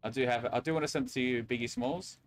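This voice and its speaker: A man with a mixed Portuguese and Australian accent, hosting a casual multiplayer chat and talking in halting bursts with restarts. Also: medium pitch. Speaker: streamer host.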